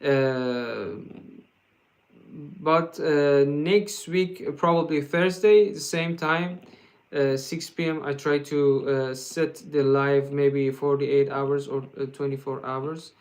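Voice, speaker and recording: A man from Iran with a Persian accent, hosting a guitar livestream and answering viewer questions in a casual, halting way, full of fillers and restarts. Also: fairly high pitch, steady tone. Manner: laughs often, joking.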